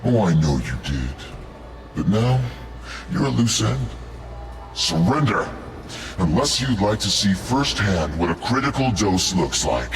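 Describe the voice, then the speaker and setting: A calm, threatening villain leader in a video game. The voice sits low and moves with lively, swinging intonation. Loud game music plays beneath every line. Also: male voice, unhurried, pauses often. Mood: menacing. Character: cold menacing male villain leader. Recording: game score under the voice.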